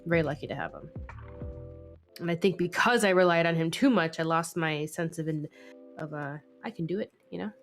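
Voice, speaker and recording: A woman's voice: low-pitched, fairly quick. A woman streamer talks casually while she draws, laughing often and cursing without a second thought. She is a touch sniffly from a head cold. Faint music plays behind her. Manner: chatty, laughing, swears freely. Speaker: live stream host. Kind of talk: casual chat while drawing.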